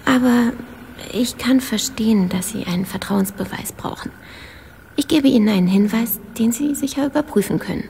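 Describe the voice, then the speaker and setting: Hushed, very creaky secretive woman. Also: a little high. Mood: hushed, insistent. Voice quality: breathy, half-whispered, gravelly. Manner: hushed, halting, cryptic. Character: secretive, guilt-ridden woman informant lurking in shadows. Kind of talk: German radio play acting. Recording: reverberant hall, faint background noise.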